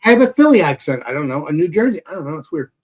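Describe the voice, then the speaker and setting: Male guest, high voice, playful and repetitive. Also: big pitch swings, very fast, over a noisy crowd. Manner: playful, repeats himself. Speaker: beer channel host, guest. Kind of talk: casual chat.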